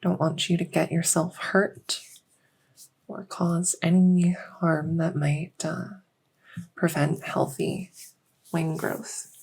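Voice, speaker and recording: A woman playing a gentle fae doctor in a soft-spoken ASMR medical roleplay, reassuring and unhurried, sometimes slipping toward a whisper. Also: on the low side, flat delivery, creaky, slightly rough, full character voice, rough recording. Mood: soft, pleased. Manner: unhurried, reassuring, hesitant pauses. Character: gentle female fae doctor with buggy wings and scaly tail. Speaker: audio roleplay performer.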